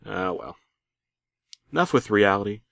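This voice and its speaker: A man playing a bitter middle-aged working dad who narrates a comic horror story with theatrical, aggrieved deadpan. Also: lowish voice, unhurried, vocal fry, dry recording, studio quality, exaggerated voice. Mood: weary, resentful. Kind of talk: comedic creepypasta narration.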